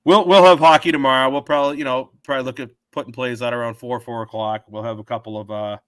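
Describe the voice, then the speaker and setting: A hoarse man with a sore throat, rambling through his betting picks as a sports show host. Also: medium pitch, fairly even intonation, fast talker, no echo. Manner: rambling, thinks aloud with many fillers and restarts. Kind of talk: casual betting picks talk. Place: home.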